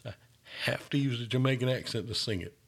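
A man's voice: medium pitch, fairly quick, creaky, breathy edge. A man who works as a paramedic, telling stories in an earnest, easygoing way during a casual chat. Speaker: paramedic, podcast host.

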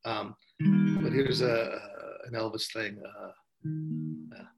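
A man with a gravelly voice, a songwriter chatting casually as a guest, telling rambling stories at home. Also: lowish voice, slow pace, over loud music, low-quality recording. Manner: relaxed, rambling storytelling. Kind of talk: casual chat. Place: at home with guitar.

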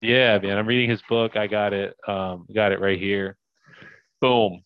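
A young man with a very low voice chats on a podcast, rambling and upbeat, full of "like" and "you know". The sound is dry and a little muffled, with no room around it. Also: fairly even intonation, a little slow, smooth, no echo. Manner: rambling, filler-heavy, upbeat. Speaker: podcast guest, crypto investor. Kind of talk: casual podcast chat.

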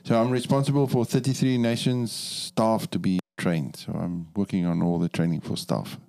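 A middle-aged South African man talks casually as a guest in an interview. His voice sits on the low side with a soft, mellow tone. He takes his time and pokes gentle fun at himself. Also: fairly even intonation, normal speed, vocal fry. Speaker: interview guest, missionary training director.

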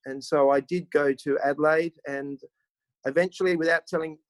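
A man's voice: on the high side, steady tone, moderate pace, no room sound. A man from rural South Australia with an Australian accent, a pastor telling his story as a podcast guest in a hesitant, self-deprecating, conversational way.

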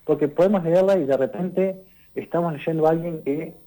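A man, giving a conversational radio literature column and explaining things unhurriedly, often pausing on "eh" and tagging his sentences with "¿no?". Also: fairly high pitch, mellow, poor audio. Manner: unhurried and explanatory, tags lines with "¿no?" and "eh".

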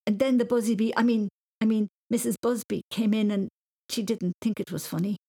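Woman playing a protesting, high-pitched young schoolboy. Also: steady tone, quick pace, slightly rough. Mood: pleading. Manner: stammering, pleading excuses. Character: mischievous, forgetful schoolboy, earnest and protesting.

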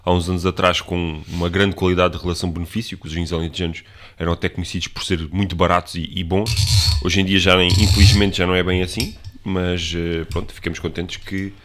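Very deep, flat-voiced man. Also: fast, hardly any pauses, a little hiss or hum. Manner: explanatory, flat, knowledgeable about wine. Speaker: podcast co-host. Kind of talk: casual chat.

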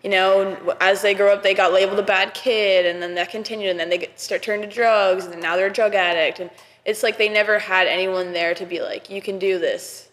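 A woman's voice: medium pitch, fairly even intonation, fairly quick, a bit bright. A woman talking casually and thoughtfully in a podcast conversation, using "like" often. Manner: casual, thoughtful, many "like" fillers. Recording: reverberant room.

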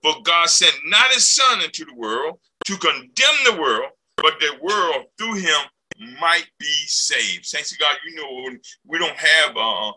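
A man preaching a fervent sermon, punctuating nearly every phrase with hallelujah and swinging between loud and soft. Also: on the high side, lively intonation, a little slow, rough recording.

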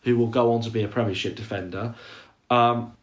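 A man's voice: medium pitch, steady tone. A man co-hosting a podcast, chatting casually and talking through his views at length, often breaking off into asides. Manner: opinionated, quick and talkative, trails into asides. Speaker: podcast co-host. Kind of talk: casual football chat.

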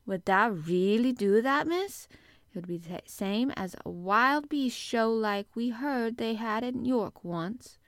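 Woman doing a chatty, excitable Yorkshire country housemaid. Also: fairly high pitch, slow pace, no echo, studio quality. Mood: delighted, excited. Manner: exclamatory, chatty, dialect-speaking.

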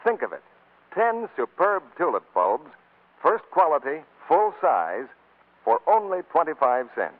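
A man's voice: fairly high pitch, wildly swooping pitch, unhurried. A man working as a 1940s radio announcer, reading a scripted sponsor commercial on a muffled vintage broadcast.

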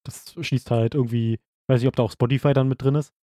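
A young man from Brandenburg co-hosting a podcast, matter-of-fact and reading out numbers slowly. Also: medium pitch, fairly even intonation, dark tone, no room sound, clean studio sound. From Brandenburg, Germany. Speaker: podcast co-host. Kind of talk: casual podcast chat, reading out stats.

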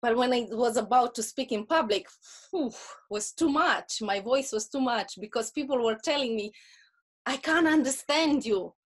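Woman, Russian accent, high voice, animated, earnest interview guest. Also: moderate pace. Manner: animated, earnest, repeats words when starting a point. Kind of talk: casual interview chat.

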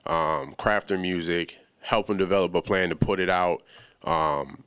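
A young man from the Bahamas talks as a guest on a podcast, relaxed and full of slang. His voice sits very low and comes across smooth and resonant. The room sounds dry and close. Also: a little slow, no echo. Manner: laid-back, slangy, many fillers. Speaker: music producer guest. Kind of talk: casual podcast interview.

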